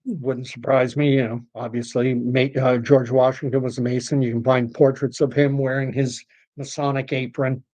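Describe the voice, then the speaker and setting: Tired, hoarse-voiced man streaming. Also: medium pitch, little pitch movement, moderate pace, darkish tone, poor audio. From Canada. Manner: rambling, opinionated, reads out and answers chat messages. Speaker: livestream host reading viewer chat. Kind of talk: late-night solo livestream commentary. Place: home streaming setup.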